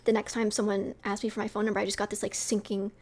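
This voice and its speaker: A woman's voice: mid-range voice, completely flat delivery, fast talker, darkish tone. A young woman with a sweet voice, chatting casually with her stream viewers, rambling with lots of fillers and light laughs.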